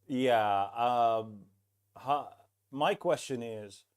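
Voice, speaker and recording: A man hosting a casual tech podcast over a remote call, chatty and quick to chime in with short agreements. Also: mid-range voice. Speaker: podcast host.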